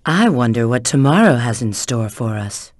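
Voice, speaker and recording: A woman's voice: extremely low pitch, big pitch swings, normal speed, dry recording, clean studio sound, heavily acted. A woman voicing a sly, flirtatious, confident treasure-hunting agent in a video game, giving hints and callouts with teasing assurance. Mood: instructive, confident.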